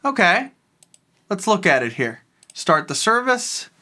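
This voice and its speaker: A tech video host giving a casual, rambling walkthrough of a software tool, thinking aloud while clicking. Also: medium pitch, very animated, measured pace.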